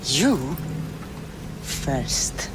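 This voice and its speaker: An actor voicing a menacing cult leader in a video game, commanding and theatrical, with slow, deliberate delivery. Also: background noise. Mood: menacing, accusing. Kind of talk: dramatic video game acting.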